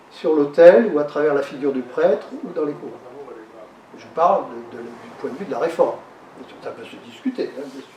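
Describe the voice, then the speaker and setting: A man giving a lecture to an audience, pausing and repeating words as he explains. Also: fairly high pitch, darkish tone. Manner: halting, repeats words and restarts while explaining. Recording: echoey hall, speaker far from the mic.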